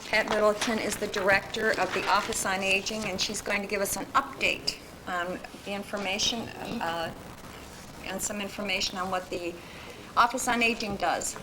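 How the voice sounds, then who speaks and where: A woman chairing a county meeting, reading out items in a brisk, procedural way. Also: mid-range voice, steady tone, noisy background.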